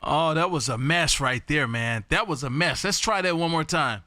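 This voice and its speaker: A man hosting a live stream from his home radio room, folksy, loud and repetitive as he calls out call signs on air. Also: on the high side, moderate pace, very noisy. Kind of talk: casual on-air radio chat.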